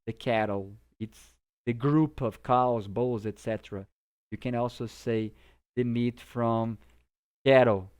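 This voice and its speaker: Slow-paced Brazilian man teaching English. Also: mid-range voice, a little mellow, smooth. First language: Portuguese. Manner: simple explanations with frequent checking tags. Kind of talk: informal vocabulary lesson to camera.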